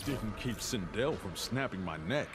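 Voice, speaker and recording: An actor playing a gruff, skeptical army major in a video game, blunt and distrustful. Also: male voice, lowish voice, lively intonation, normal speed, background noise, lightly acted. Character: gruff, skeptical military major, battle veteran. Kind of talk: video game cutscene dialogue. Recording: loud game music behind.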